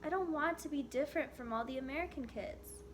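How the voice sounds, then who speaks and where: A woman's voice: high-pitched, fairly quick, noisy background, voice pushed up, close to an everyday voice. A woman voicing a shy, earnest young schoolgirl in a storybook, speaking hesitantly at times. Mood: earnest, pleading.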